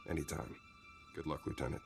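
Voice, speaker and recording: A man's voice: a bass voice, little pitch movement, brisk, creaky, music in the background. A man playing a helpful military captain in a video game, talking in an informal, easygoing manner. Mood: matter-of-fact. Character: casual, helpful male army captain. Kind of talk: video game cutscene acting.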